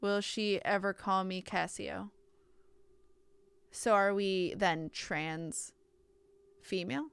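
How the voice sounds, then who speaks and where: A woman's voice: mid-range voice, fairly even intonation, slow, creaky, long pauses, smooth, dry recording. A woman streaming a visual novel, reading the text aloud with casual asides and often stumbling and correcting herself.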